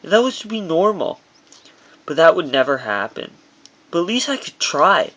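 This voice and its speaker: A man narrates a personal memoir as its author. His voice sits a little high for a man. The reading is halting, with occasional stumbles over the words. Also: big pitch swings, a bit bright.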